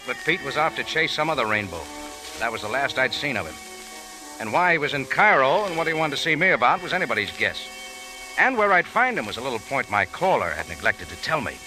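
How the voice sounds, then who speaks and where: An actor playing a wry, world-weary American cafe owner narrating a 1940s radio adventure in a hard-boiled style. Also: male voice, fairly high pitch, poor audio. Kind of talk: 1940s radio acting, first-person narration.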